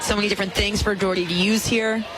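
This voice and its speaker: A woman giving analytical softball commentary on the radio as a color analyst. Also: mid-range voice, fairly even intonation, fast. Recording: very loud crowd and music behind. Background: loud crowd, stadium music.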